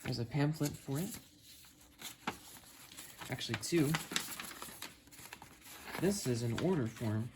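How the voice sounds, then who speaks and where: A hobbyist casually narrating an unboxing and test in a halting way, thinking aloud while working with their hands. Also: medium pitch, animated. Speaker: hobbyist video creator. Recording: noisy, with clicks and handling sounds.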